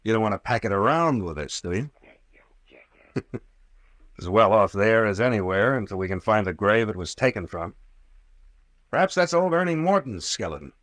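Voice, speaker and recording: An actor playing a brash, sardonic captain and investigator with folksy slang in an old-time radio drama. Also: male voice, lowish voice, animated, moderate pace, pauses often, fairly bright tone, no echo. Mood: brusque, wry. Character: gruff wisecracking sea captain playing armed investigator.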